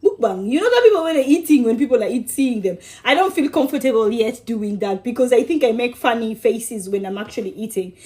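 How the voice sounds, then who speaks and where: A woman from Kenya chatting on a cooking livestream in a high, lively voice. She is bubbly and affectionate with her viewers, laughs often and teases them playfully. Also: fairly quick, hardly any pauses. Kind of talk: casual livestream chat with viewers.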